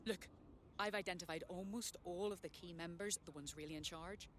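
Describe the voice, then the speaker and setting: A woman's voice: on the low side, a little fast, slight background noise, close to an everyday voice. Woman as a suspicious, intense conspiracy theorist. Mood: suspicious, insistent. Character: paranoid female conspiracy theorist, insistent, suspicious. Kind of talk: video game cutscene dialogue.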